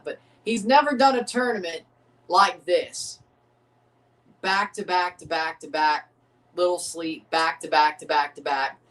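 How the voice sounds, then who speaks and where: Male streamer, casual, rambling watchalong chat, slightly scratchy voice, mic at a distance. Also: on the high side. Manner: rambling, excitable, repeats himself. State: voice getting scratchy from overuse. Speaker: streamer hosting a match watchalong.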